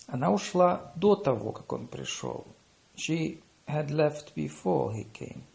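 Man, language lesson reader, slightly high voice, gravelly, slow careful English. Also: expressive, darkish tone. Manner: slow, deliberate English after brisk Russian. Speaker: language teacher. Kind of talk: reading lesson phrases with translations.

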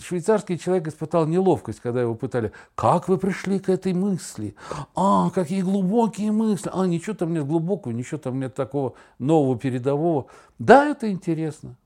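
Middle-aged male podcast host, lively swinging intonation, emphatic and stumbling over words as he muses. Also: on the high side. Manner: animated, emphatic, halting with stammered repetitions. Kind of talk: casual reflective conversation with anecdotes.

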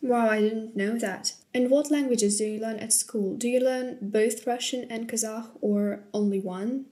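Woman interviewer, curious and friendly, somewhat high voice. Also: unhurried, dark tone. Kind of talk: asking interview questions.

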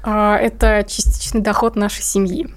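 A woman's voice: mid-range voice, flat delivery, moderate pace. A woman speaking casually and candidly as an interview guest, informal and often correcting herself mid-sentence.

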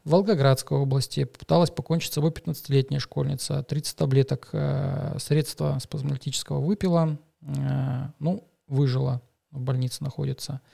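A man in his thirties co-hosting a chatty podcast. His voice is dark and gravelly, and he speaks haltingly, filling pauses with "uh" and restarting his sentences as he reads out the news. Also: medium pitch, level tone. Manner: hesitant, many fillers and restarts. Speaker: podcast co-host, astrologer. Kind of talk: casual podcast chat and news reading.

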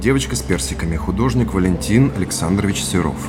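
An earnest, curious man in an audio drama. His voice is very deep and his replies are brief and thoughtful. Music is clearly audible underneath. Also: animated, fast talker. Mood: attentive.

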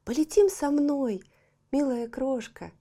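A woman voicing a kind female swallow in a children's audiobook, speaking in a lively, coaxing, sing-song way. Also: high voice, pitched above the performer's own voice. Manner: lively, coaxing, slow sing-song when describing warm lands. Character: kind chirping female swallow, gentle and eager. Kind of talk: storybook audiobook acting.

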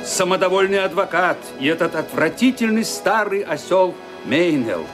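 Casting: male narrator, fairly high and very animated, theatrical radio drama reading, faint music behind. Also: moderate pace. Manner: theatrical, expressive.

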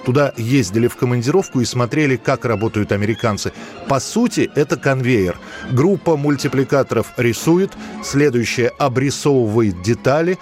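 A man's voice: mid-range voice, a little mellow. A man hosting a radio programme, reading scripted documentary narration.

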